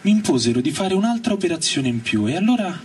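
A man giving a theatrical first-person reading of a novel, with rhythmic phrasing and pauses, over electric organ and guitar. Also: sits high, sing-song, distant mic, a little hiss or hum. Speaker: reader at a literary reading. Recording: voice off-mic under loud music. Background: loud electric organ and guitar music.

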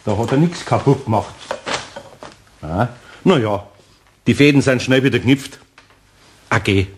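An older man with a Bavarian accent playing a kindly old master carpenter in a radio play, grumbling slowly and good-naturedly. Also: medium pitch, slight background noise, lightly acted. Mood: musing.

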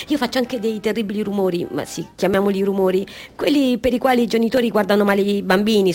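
Radio play: actress as eager, unrestrained woman Auguste clown, mid register, expressive and self-mocking, music underneath. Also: mid-range voice, natural voice. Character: clumsy, unrestrained female Auguste clown pleading her case. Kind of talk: theatrical radio monologue.

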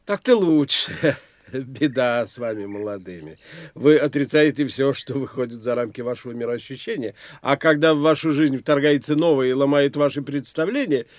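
An actor playing a self-assured, eccentric older doctor of the soul in an audio drama, speaking in a pondering, indulgent, lecturing manner. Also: male voice, mid-range voice, normal speed, no echo, lightly acted. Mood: amused, indulgent. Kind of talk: theatrical audio drama dialogue.

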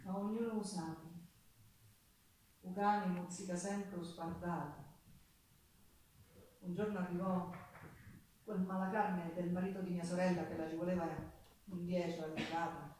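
A woman's voice: lowish voice, level tone, a little slow, long pauses, a little mellow, slight background noise, natural voice. Echoey, dramatic Sicilian woman storyteller played by an actress. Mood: grave, grieving. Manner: dramatic, emphatic storytelling with repetitions. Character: passionate Sicilian working-class woman recalling her hard life. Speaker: stage actress and singer in a monologue. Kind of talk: theatrical monologue with folk singing. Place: theatre stage with live guitar. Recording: echoey theatre, distant mic, guitar music.

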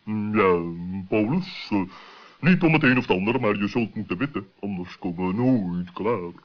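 Stern owl telling folks off, lowish voice, kids radio play, dull old sound. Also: male voice, lively intonation, normal speed. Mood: firm, bossy. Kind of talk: 1960s children's radio play acting.

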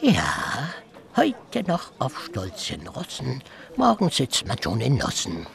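Radio-play court jester, male, slow and gravelly, wry sing-song mockery. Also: mid-range voice, huge pitch swings, fairly bright tone, heavily acted. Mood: mocking. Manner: mocking, rhyming, sing-song asides. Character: sly gloomy male court jester who lives by laughter.

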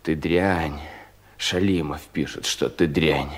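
An actor playing a despairing, bitterly mocking young provincial official in a theatrical radio drama, with long dragging pauses. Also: male voice, extremely low pitch, fairly even intonation, full character voice. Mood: drunk, bitter. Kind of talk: 1970s Russian radio drama acting.

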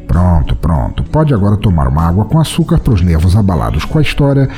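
A man with a deep, mellow voice narrates a horror story in the first person, his pitch swinging widely for dramatic effect. He hosts the podcast himself, and faint music sits under his theatrical reading. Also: a little fast. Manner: theatrical, expressive delivery.

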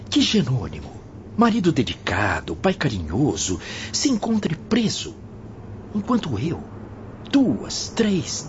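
A man's voice: on the high side, a little mellow. A man acting a remorseful, fearful spirit in a radio drama, with an anguished, dramatic delivery. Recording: dull lo-fi audio with noise.

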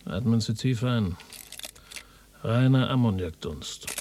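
A man's voice: lowish voice, expressive, slow, dark tone, a little hiss or hum. An actor playing a driven, insistent male radio reporter with a sardonic manner, in a naturalistic radio drama.

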